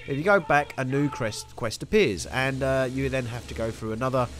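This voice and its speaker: A man's voice: mid-range voice, fairly quick, fairly bright tone, slight background noise. A young man giving a rambling, jokey walkthrough of a video game with self-mocking asides. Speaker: gaming video host. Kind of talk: casual gameplay walkthrough commentary.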